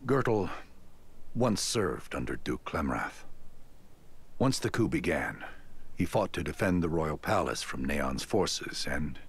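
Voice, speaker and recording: Fantasy game knight captain: grave and formal, deep, husky, with lively intonation. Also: male voice, takes it slowly, exaggerated voice. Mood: grave, earnest. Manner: formal, archaic courtly phrasing. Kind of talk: scripted video game dialogue.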